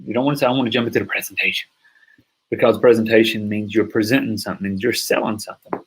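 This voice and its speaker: A middle-aged man teaching a sales course in an energetic, emphatic way, often stopping to check that listeners follow. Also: fairly low pitch, rapid-fire, breathy edge, low-quality recording. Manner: energetic, emphatic, acts out sample calls. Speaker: sales coach. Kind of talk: online course lecture.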